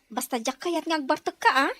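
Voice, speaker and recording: A woman's voice: sits high, lively intonation, fairly quick, bright, forceful tone, slight background noise. A woman playing a talkative, teasing married friend in a family drama, with an Ilocano accent. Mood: wheedling. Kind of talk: acted family drama dialogue.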